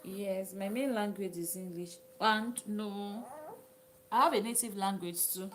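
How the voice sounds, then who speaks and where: Slow-talking Nigerian woman chatting to viewers. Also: mid-range voice, steady tone, a little hiss or hum. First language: Yoruba. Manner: chatty, often drops to a soft whisper. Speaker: livestream host. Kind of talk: casual live chat reading comments.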